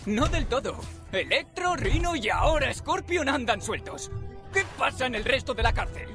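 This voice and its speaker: Man acting a high-pitched, quippy young superhero. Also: sing-song, normal speed, exaggerated voice. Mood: determined. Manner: quippy, sarcastic. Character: wisecracking young male superhero, nimble and cheeky. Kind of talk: video game voice acting, fan dub. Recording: loud game music over voice.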